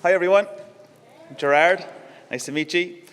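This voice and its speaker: An Irish man giving a lively conference talk, quizzing the audience with quick questions. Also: high-pitched, clean tone, long reverb tail. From Ireland. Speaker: conference speaker. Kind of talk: conference presentation. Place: conference venue.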